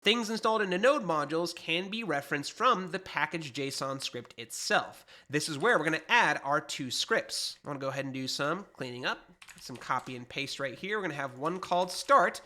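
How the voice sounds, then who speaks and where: Stuffed-up man in his thirties, bright and slightly high voice, chatty programming instructor at a desk mic. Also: expressive, moderate pace. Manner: explanatory, conversational, self-correcting. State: stuffed up with allergies. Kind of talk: screen-share coding tutorial. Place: small home studio, indoors.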